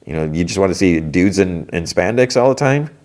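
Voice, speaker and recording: A man with a very low, deep voice and a stuffy, congested nose talks through a solo podcast segment. He rambles casually, often restarting sentences and trailing off mid-thought. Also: expressive, fairly quick, a little mellow. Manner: rambling, self-interrupting, many restarts. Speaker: podcast host. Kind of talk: casual solo comics chat.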